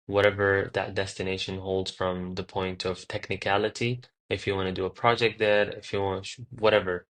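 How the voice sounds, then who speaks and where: A man from Qatar speaks in a low, even voice with little rise and fall. He talks as a guest on an interview, pausing often and searching for his words. The delivery is relaxed and unscripted, with frequent hesitations between phrases. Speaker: guest, tour company founder. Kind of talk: casual interview talk.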